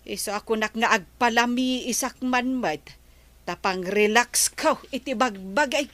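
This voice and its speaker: A woman's voice: on the high side, unhurried, a bit bright, no room sound. A woman playing the captain's weary, good-natured wife in a radio comedy drama.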